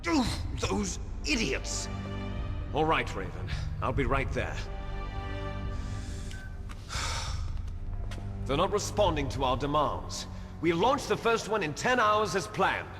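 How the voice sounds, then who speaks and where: A man's voice: fairly high pitch, sing-song, slow pace, pauses often, full character voice. An actor playing an imperious, menacing male terrorist commander in a video game, with a theatrical, taunting delivery. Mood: irritated. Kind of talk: dramatic video game voice acting. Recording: long hall-like reverb. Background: music.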